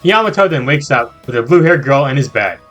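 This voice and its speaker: A man's voice: mid-range voice, animated, fairly quick, fairly bright tone. A man narrating a scripted comedic video review in a playful, exaggerated way with jokey asides. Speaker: video game reviewer, channel host. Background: background music.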